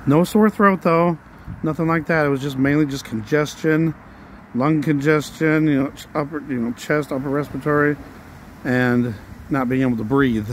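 A hoarse man talking casually while walking outdoors on a residential street, a little out of breath, rambling in a plain way and now and then coughing or sighing. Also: a little high, moderate pace, slight background noise. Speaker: vlogger. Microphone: handheld phone while walking.

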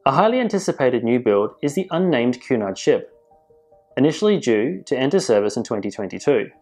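Male news presenter, informative measured voice-over with quiet music bed. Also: medium pitch, big pitch swings. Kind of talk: scripted narration. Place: voice-over, not in pictured places.

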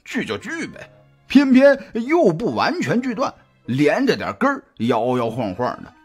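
A man acts out an easygoing private detective in an audio drama. The voice is husky and a little high, swinging widely in pitch as he chats in a halting, colloquial way. Music plays underneath. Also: wildly swooping pitch, full character voice. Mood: gossipy. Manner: halting, colloquial, with drawn-out hesitations. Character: jovial, chatty male private detective, easygoing friend. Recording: music behind the voice.

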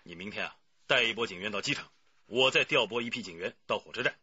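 An actor playing a senior police chief in a radio drama, a calm, avuncular superior who speaks in a measured, supportive way. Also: male voice, fairly low pitch, husky, no room sound, highs cut off, full character voice. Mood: easygoing, supportive.

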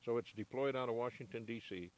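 A man's voice: mid-range voice, quick pace, low-quality recording. An older man giving plain, matter-of-fact technical answers in a TV news interview, speaking into a reporter's handheld mic. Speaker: ham radio operator interviewee. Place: home radio room, then parked truck.

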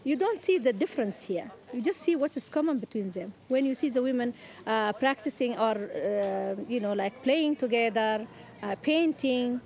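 A woman from Eritrea, a former refugee, speaking thoughtfully in an interview with many pauses and fillers. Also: a little high, animated, a little mellow. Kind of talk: interview testimony.

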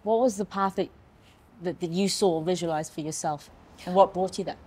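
A middle-aged woman interviewer asking her guests questions slowly and deliberately. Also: fairly low pitch, mellow, slightly rough. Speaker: interviewer, magazine founder. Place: bright open office lounge with large windows.

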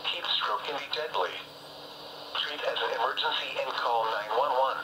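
A man's voice: medium pitch, normal speed, a crowd in the background. A man reading a scripted emergency weather bulletin as a radio announcer, in a formal, clipped, automated-sounding way. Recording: noisy weather radio filmed off speaker.

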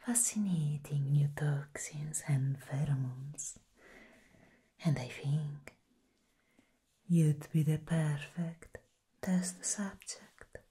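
A woman playing a seductive, sinister plant villainess in a breathy whisper, as soft-spoken ASMR roleplay, slow and deliberate. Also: sits low, exaggerated voice. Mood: intrigued, sly.

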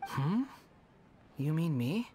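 Earnest, deferential young wanderer. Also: male voice, fairly high pitch, sing-song, very slow, mellow, slight background noise, full character voice. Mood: tentative. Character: polite, humble young male wanderer, eager helper. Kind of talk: video game character acting.

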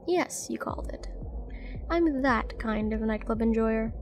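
A woman's voice: fairly high pitch, a little slow, faint music behind, close to an everyday voice. A woman playing a confident, flirty and dangerous mercenary in a nightclub roleplay audio drama, teasing and commanding as she addresses the listener. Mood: teasing, flirtatious.

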